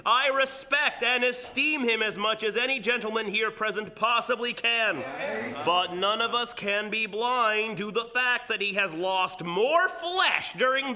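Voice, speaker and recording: A man playing a florid, indignant gentleman orator, pompous and elaborately polite, in a theatrical radio drama. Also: very high-pitched. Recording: live theater with audience noise.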